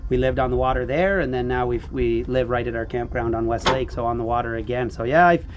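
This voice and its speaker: A man's voice: mid-range voice, fast talker, slight background noise. A middle-aged man from Kenya, a fishing guide talking casually and easily about how he got into guiding. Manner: easygoing, chatty, laughs often. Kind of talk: casual chat on a boat.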